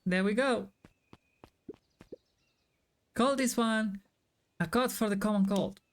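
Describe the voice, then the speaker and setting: A woman's voice: medium pitch, slow. A woman game streamer reading visual novel dialogue aloud and chatting about it as she plays. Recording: game music behind the voice. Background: game music.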